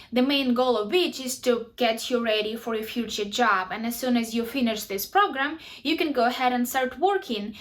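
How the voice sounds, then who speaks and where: A young woman from Ukraine speaks in a chatty, direct way, her Ukrainian accent clear. Her voice sits a little high. Small grammar slips show up now and then as she explains things to camera. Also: fairly bright tone. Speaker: vlogger.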